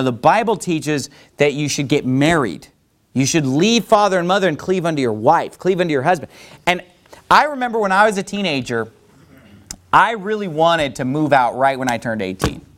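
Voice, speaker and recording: A man preaching a sermon in an emphatic, animated way, pushing his points up with rising emphasis. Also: on the high side.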